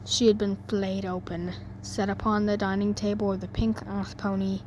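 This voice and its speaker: A woman's voice: medium pitch, level tone, normal speed, a little mellow. A woman narrating a story in an amateur reading, stumbling over words and rereading them. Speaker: fan fiction reader. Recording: noisy amateur home recording.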